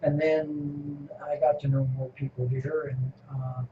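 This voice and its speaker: A man's voice: a little high, flat delivery. Older man rambling in an echoey room. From California. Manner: rambling, halting, chuckles often. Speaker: interviewee. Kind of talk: casual reminiscing. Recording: echoey room, muffled lo-fi, noisy.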